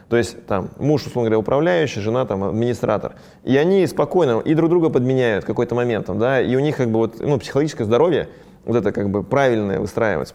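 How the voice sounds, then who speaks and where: A man who runs a ski resort business, speaking casually in an interview, talking fast with many fillers. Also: mid-range voice, a little mellow.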